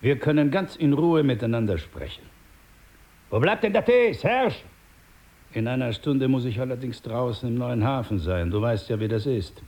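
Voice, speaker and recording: An actor playing a powerful, smooth-talking crime boss in a radio drama, patronizing and unhurried in his politeness, with impatient asides. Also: mid-range voice, animated, darkish tone, lightly acted. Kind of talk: German radio drama acting, with Spanish asides.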